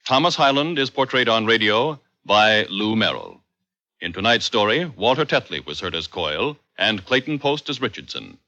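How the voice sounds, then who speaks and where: Male 1950s American radio announcer, crisp sell, mid pitch, animated delivery, dull vintage recording. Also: normal speed.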